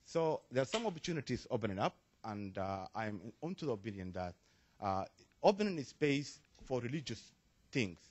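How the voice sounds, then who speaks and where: Somali-born man at a panel mic, lively up-and-down delivery, dull lo-fi sound. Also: medium pitch. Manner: halting, with frequent restarts and rising emphasis. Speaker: audience member asking a question. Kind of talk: spontaneous panel floor comment. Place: conference hall.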